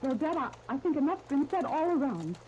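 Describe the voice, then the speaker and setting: An actress playing a stubborn, hurt young daughter, earnest and defiant, in a muffled 1930s radio serial. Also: female voice, sits high, sing-song, brisk, darkish tone. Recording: muffled, noisy 1939 radio disc.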